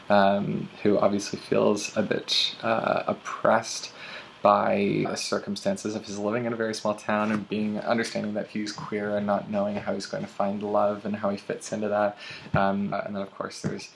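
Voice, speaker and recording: Gravelly, low-voiced young man chatting. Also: completely flat delivery, normal speed, a little hiss or hum, rough recording. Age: young adult. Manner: chatty, many ums and uhs. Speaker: booktuber. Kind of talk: casual book tag vlog.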